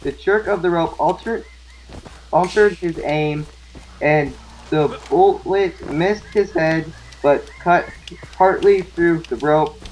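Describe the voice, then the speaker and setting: A young man in a gaming video, switching between casual chat and halting reading aloud, stumbling over words. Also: fairly high pitch, a little mellow, a little hiss or hum, poor audio. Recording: music playing over the voice.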